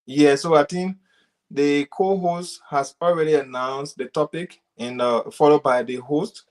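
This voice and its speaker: A young man from Liberia, a graduate student, speaking as a guest on a panel. He talks in a polite, measured way, with some "uh" fillers. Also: fairly high pitch, fairly even intonation, darkish tone. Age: young adult. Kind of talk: formal greeting and self-introduction.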